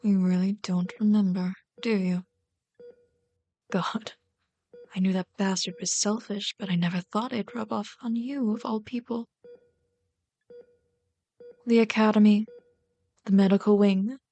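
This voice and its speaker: A woman's voice: medium pitch, little pitch movement, unhurried, pauses often, highs cut off, natural voice. A woman playing a bitter but caring villain queen in an ASMR roleplay, scolding and sardonic, often exasperated. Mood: hurt, bitter. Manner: scolding, sardonic, exasperated, dropping to hushed asides. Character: bitter, caring female villain rat queen tending a captive sidekick. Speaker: voice actor in an audio roleplay.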